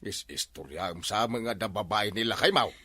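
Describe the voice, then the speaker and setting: A man's voice: fairly low pitch, moderate pace, bright, forceful tone, slight background noise. An older man with an Ilocano accent, playing a father in a comedy drama, drowsy and rambling. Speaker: elderly father.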